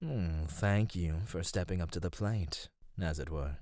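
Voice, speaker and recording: A man playing a gentle, flirty immortal god of radiance in an ASMR roleplay, speaking softly and formally. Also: low voice, a little slow, dry recording, studio quality. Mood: courteous, earnest. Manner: soft, formal, tender speech.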